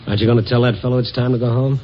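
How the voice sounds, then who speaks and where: A man's voice: mid-range voice, level tone, rapid-fire, a little hiss or hum, close to an everyday voice. A man playing a world-weary night reporter, narrating in a laconic, hard-boiled 1950s radio style. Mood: wry. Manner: laconic, wry first-person narration. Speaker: lead actor of a radio drama. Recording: dull lo-fi old radio recording.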